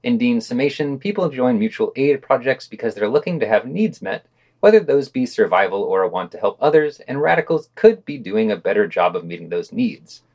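A man's voice: medium pitch, lively intonation, fairly quick, a little mellow, dull audio. A man hosting a podcast, reading written reviews with wry, skeptical asides. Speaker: podcast host.

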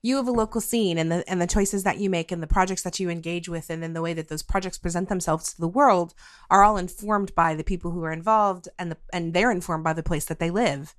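Podcast interviewer: middle-aged woman, slightly low voice, very dry sound, informal, thinks aloud with repeated words. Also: no echo. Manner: halting, rambling, repeats words while thinking. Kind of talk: casual conversational interview.

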